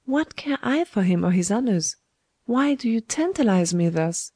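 Lady reading as a proud young guy in a storybook, flustered, indignant, soft-edged tone. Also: medium pitch, expressive, moderate pace, no room sound, studio quality. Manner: indignant, breathless questioning. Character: earnest, proud, flustered young man, a student monk.

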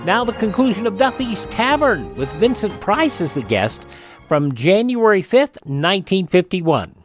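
A man's voice: high voice, big pitch swings, measured pace. A man hosting a radio programme, presenting introductions and announcements. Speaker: radio host. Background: music.

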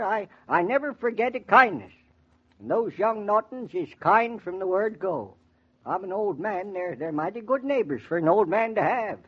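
An actor playing a kindly old rustic neighbour with a rural American accent and folksy, rambling speech in 1940s radio drama style. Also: male voice, sits high, slightly rough, exaggerated voice. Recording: dull 1940s radio recording.

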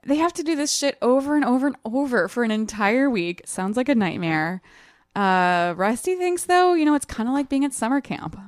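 A woman's voice: on the high side, expressive, normal speed, dry recording, studio quality. A woman co-hosting a podcast in a casual, chatty style, laughing easily as she talks. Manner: laughs easily while talking. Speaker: podcast co-host recapping a novel. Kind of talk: casual chat with read-aloud passages.

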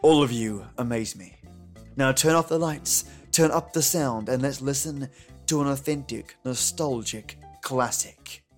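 A man's voice: mid-range voice, unhurried. A man hosting a podcast with scripted, theatrical, dramatic narration. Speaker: podcast host. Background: music.

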